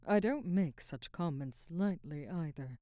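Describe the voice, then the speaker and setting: A woman acts out a proud, guarded screen actress in middle age for an ASMR drama. Her voice is low, mellow and breathy, often close to a whisper. She speaks slowly in measured, formal phrases, and the sound is very dry and intimate. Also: animated, no echo. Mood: softening, sincere. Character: haughty, guarded middle-aged Hollywood actress, softening. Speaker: ASMR voice actor. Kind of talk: ASMR roleplay, scripted dramatic monologue.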